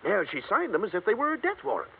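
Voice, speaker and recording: An actor playing a wry, chatty insurance agent in a 1950s radio drama. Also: male voice, sits high, huge pitch swings, fast talker, creaky, slightly rough, heavily acted. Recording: tinny lo-fi 1950s radio broadcast.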